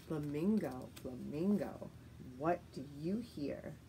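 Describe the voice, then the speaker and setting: A middle-aged woman, a teacher reading a picture book aloud to young children in a deliberate, sing-song, expressive way, recorded at home. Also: sits low. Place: living room at home, indoors. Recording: faint steady noise, home room recording.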